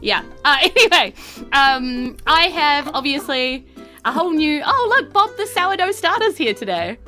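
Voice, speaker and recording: A woman's voice: sits high, animated, moderate pace, pushed and bright, soft background music. A woman hosting a tabletop game show in a casual, theatrical, giggly way. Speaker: host and game master of a podcast.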